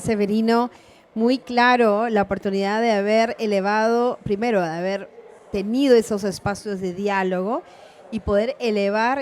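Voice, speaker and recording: Woman hosting a formal panel, slightly high voice, bit of event noise behind her. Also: a little mellow. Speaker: panel moderator.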